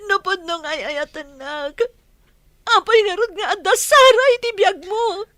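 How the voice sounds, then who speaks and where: High-pitched betrayed wife, acted by a woman. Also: a little slow, pushed and bright, dry recording, heavily acted. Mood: angry, accusing. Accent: Ilocano. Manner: melodramatic, tearful, accusing. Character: betrayed wife, heartbroken, bitter and furious at her husband. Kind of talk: Ilocano radio drama acting.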